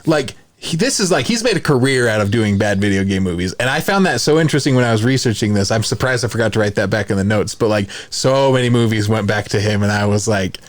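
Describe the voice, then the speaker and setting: A man's voice: fairly low pitch, animated, fast talker, hardly any pauses, no room sound. Wry man with a dry, close sound. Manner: informal, wry. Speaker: podcast co-host. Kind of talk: casual chat.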